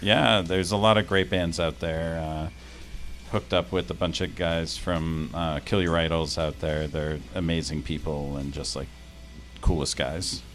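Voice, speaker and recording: A man from Massachusetts with a very deep voice answers as a band guest on a radio show. He talks plainly and easily. Faint music sits behind him. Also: fairly even intonation, unhurried, a bit bright. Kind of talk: casual interview chat.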